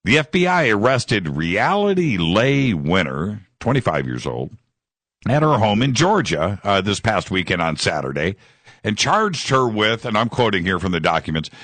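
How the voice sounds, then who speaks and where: A man's voice: on the low side, big pitch swings, normal speed, fairly bright tone, no room sound. A man hosting a live call-in radio show, enthusiastic and rambling, repeating words and leaning on fillers.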